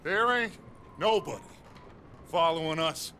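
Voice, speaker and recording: An actor playing a middle-aged, charismatic outlaw gang leader in a video game, fatherly and rousing, speaking deliberately with pauses like an orator. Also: a little high, lively intonation, sharp tone, a little hiss or hum, heavily acted. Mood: solemn, rousing. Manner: oratorical, deliberate, pausing between phrases. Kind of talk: dramatic video game acting.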